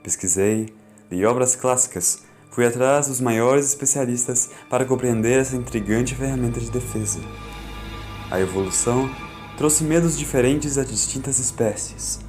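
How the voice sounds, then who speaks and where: A Brazilian man narrating a scripted fan audiobook in a solemn, deliberate, dramatic way. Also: mid-range voice, a little mellow, soft background music.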